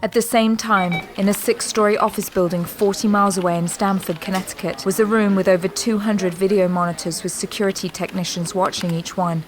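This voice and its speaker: A woman's voice: mid-range voice, fairly even intonation, brisk, hardly any pauses, a little hiss or hum. A woman reading scripted, dramatic narration for a sci-fi audio drama. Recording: loud music under narration.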